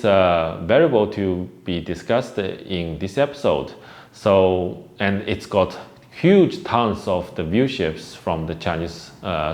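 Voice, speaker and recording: Man, host, somewhat low voice, rambling casual commentary, hesitant. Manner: rambling and hesitant, with frequent restarts.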